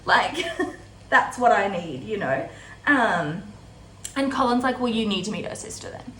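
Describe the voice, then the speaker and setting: A woman's voice: mid-range voice, expressive, measured pace, a bit bright. Casual woman recapping in an echoey room. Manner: informal, paraphrases characters' dialogue conversationally. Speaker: host of a book readalong. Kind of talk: casual chatty book recap. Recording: roomy echo with faint background noise.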